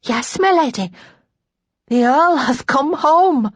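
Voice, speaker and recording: A woman voicing an excitable, deferential lady's maid in an audiobook, speaking with urgency. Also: a little high, unhurried, husky, no room sound, pitched above the performer's own voice. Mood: excited, urgent. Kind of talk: audiobook character dialogue.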